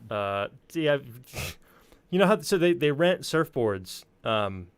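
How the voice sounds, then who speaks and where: A man's voice: on the high side, sing-song, takes it slowly, smooth, dry recording. A man telling anecdotes in casual chat, rambling informally and swearing freely. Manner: informal, profane, rambling storyteller.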